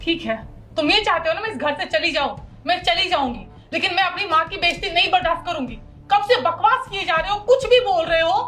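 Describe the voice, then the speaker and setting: Woman acting a very shrill, indignant wife. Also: a little fast, pushed and bright, far from the mic. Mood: angry, defiant. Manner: scolding, combative, argumentative. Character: indignant wife fiercely defending her mother. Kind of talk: melodramatic family drama acting. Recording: roomy echo with dramatic music underneath.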